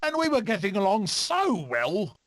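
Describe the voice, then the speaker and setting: High-pitched, daredevil critter. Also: male voice, wildly swooping pitch, normal speed, fairly bright tone, slight background noise, heavily acted. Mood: cocky banter. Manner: theatrical, exclamatory. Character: daredevil furry male adventurer animal, whiskered, excitable and cocky. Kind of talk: animated video game character barks.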